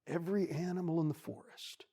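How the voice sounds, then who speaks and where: A storyteller playing a massive, wise male silver wolf who speaks calmly and reassuringly, with a raspy, gravelly voice. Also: mid-range voice, a little fast, pitched above the performer's own voice. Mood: gentle.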